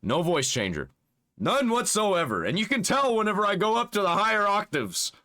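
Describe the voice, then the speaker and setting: Casting note: male reaction host, mocking and profane, a bit high-pitched, dips into a put-on low voice. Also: sing-song, moderate pace, bright, forceful tone. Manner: mocking, swings between a squeaky high register and a put-on deep voice; swears freely, reads search results aloud. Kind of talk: casual reaction commentary.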